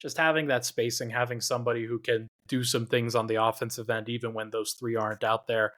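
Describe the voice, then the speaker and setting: A man hosting a basketball podcast, talking in a relaxed way and thinking aloud with lots of fillers. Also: mid-range voice, brisk. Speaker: podcast host. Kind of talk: casual basketball podcast chat.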